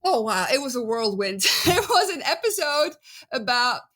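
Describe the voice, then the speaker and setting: Bright-voiced Belgian woman with a Dutch accent. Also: high-pitched, very animated, normal speed. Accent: Flemish Dutch. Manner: chatty, good-humoured, frequent "um"s. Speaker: biblical archaeologist guest. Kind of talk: casual interview.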